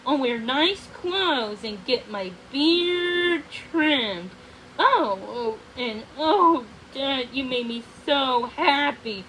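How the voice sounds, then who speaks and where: A woman's voice: high voice, lively intonation, very slow, a bit bright, a little hiss or hum, heavily acted, poor audio. A woman voicing a menacing, boastful male sorcerer, the villain of a fairy-tale storybook. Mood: awestruck, eager.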